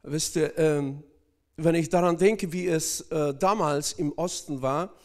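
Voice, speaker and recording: Slow, hesitant male preacher. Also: a little high, a little mellow. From Northern Kazakhstan. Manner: hesitant, many fillers, addresses congregation directly. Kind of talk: sermon.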